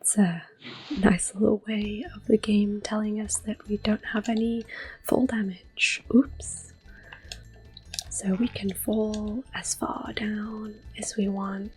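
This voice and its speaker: A woman giving gentle, mostly whispered ASMR commentary as she hosts a video game playthrough. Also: mid-range voice, flat delivery, slow pace, slightly rough, rough recording. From Europe. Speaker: host of a gameplay series. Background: quiet game music and controller clicks.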